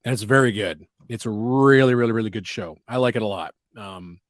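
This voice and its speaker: A man's voice: fairly low pitch, fairly quick, no room sound. A man chats casually on a livestream, rambling from one aside to the next. His voice is a little scratchy from allergies. The sound is dry and close, with no room around it. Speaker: podcast host.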